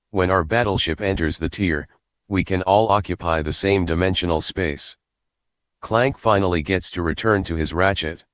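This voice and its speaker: A man's voice: sits low, fairly bright tone, no echo. A man narrating, reading a story script aloud.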